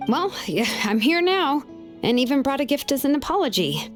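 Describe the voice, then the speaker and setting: A laid-back young woman craftworker speaks in a fairly high voice, casual and caring. It is video game dialogue with music underneath. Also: big pitch swings, normal speed. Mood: modest. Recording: music behind.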